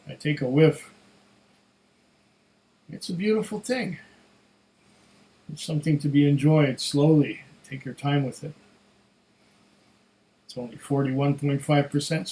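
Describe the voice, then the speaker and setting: Slightly hoarse, higher-pitched man musing unhurriedly. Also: dark tone, low-quality recording. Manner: musing, unhurried, savoring, talks to himself. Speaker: whisky reviewer. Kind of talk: casual solo tasting review.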